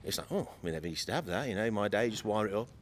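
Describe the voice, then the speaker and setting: A man in his late forties with a slightly croaky voice, chatting as a guest on a podcast and often repeating words while he searches for them. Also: lowish voice, animated, racing, slight background noise. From United Kingdom. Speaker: guest from an electrical equipment maker. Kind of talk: casual podcast chat.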